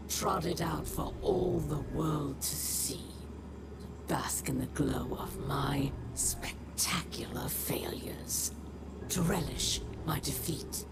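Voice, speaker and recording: A man voicing a proud, defeated alien captive in a video game, hushed and breathy, with a bitter, sardonic, theatrical delivery. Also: fairly high pitch, slow pace, whispered. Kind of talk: video game character monologue. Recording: loud music underneath.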